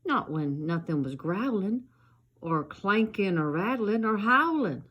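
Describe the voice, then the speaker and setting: A woman's voice: medium pitch, sing-song, a little slow, fairly bright tone, slightly rough, lo-fi sound, pitched below the performer's own voice, heavily acted. A woman voicing a little zombie ballerina girl, dramatic and eager, in a theatrical children's storybook reading. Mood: dramatic, spooky.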